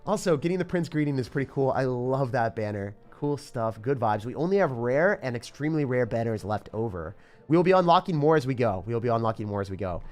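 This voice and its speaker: A young man from the Boston area streaming a game live, chatting with viewers, excitable and laughing often. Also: mid-range voice, fast, soft background music. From Boston area, United States. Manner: excitable, laughs often, reads chat questions aloud. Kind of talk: live-stream gameplay commentary.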